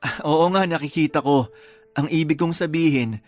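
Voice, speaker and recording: A man's voice: fairly high pitch, normal speed, darkish tone, lightly acted. An actor playing an anxious, placating young husband and father in a Filipino radio drama, with a Filipino accent. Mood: helpful.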